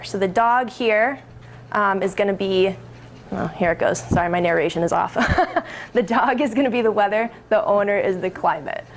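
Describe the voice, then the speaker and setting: A woman's voice: medium pitch, slight background noise. Woman giving a public talk. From Minnesota. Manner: friendly, self-correcting, explanatory. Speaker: presenter.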